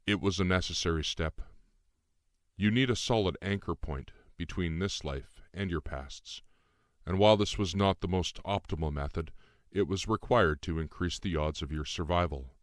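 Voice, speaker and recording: Man voicing Lucien, deep, composed otherworldly being. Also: moderate pace, no room sound, clean studio sound, lightly acted. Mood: coolly insistent. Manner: formal, precise, composed, didactic. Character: Lucien, calm calculating otherworldly being in young man's form. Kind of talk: audiobook dialogue.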